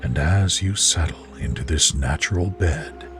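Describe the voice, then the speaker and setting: A man reading a scripted guided sleep visualization in a soothing, gentle, measured way, as a narrator of bedtime relaxation stories. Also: very deep voice. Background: music behind the voice.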